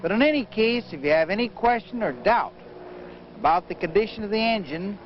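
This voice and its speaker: Higher-pitched man narrating a vintage training film. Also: expressive, a little fast. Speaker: flight safety instructor. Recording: muffled noisy vintage training film soundtrack.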